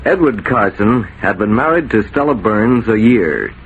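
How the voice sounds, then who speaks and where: A man's voice: on the low side, expressive, measured pace, lo-fi sound. A man narrating as a 1940s radio announcer, dramatic and declamatory. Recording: noisy 1940s radio recording.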